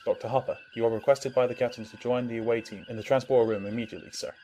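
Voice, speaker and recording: A man's voice: medium pitch, steady tone, racing, lightly acted. A man playing a dutiful, formal bridge lieutenant in a sci-fi audio drama. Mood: businesslike. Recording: loud noisy bridge ambience.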